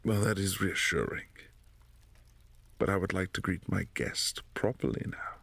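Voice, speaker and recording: A man playing a possessive, imperious vampire prince in a boyfriend ASMR roleplay, speaking in a formal, commanding, softly menacing way. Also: low voice, fairly even intonation, measured pace, vocal fry, slightly rough, dry recording, exaggerated voice. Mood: cool, imperious.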